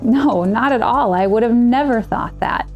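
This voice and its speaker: A woman's voice: a little high, moderate pace, muffled recording, soft background music. A woman in her thirties earnestly telling her own story as a client and coach, in a scripted testimonial. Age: 30s.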